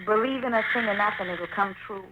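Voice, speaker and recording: A woman's voice: medium pitch, quick pace, highs cut off, close to an everyday voice. An actress playing an anxious, earnest young woman, a scientist's daughter, in a 1940s suspense radio drama, with a dramatic delivery. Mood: intense, earnest. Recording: noisy old radio broadcast recording.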